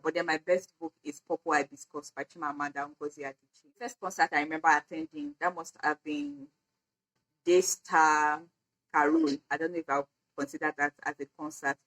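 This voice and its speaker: A woman's voice: sits low, a bit bright, poor audio. A young Nigerian woman with a Nigerian accent, whose first language is Edo, chatting casually in a get-to-know-me vlog, with frequent "like" fillers and laughs. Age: young adult. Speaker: vlogger.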